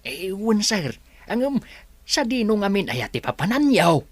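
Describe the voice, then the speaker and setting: A man's voice: high voice, sing-song, measured pace, no echo, exaggerated voice. A man playing a nervous servant in a radio drama who stammers anxiously. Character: nervous servant Mang Igme.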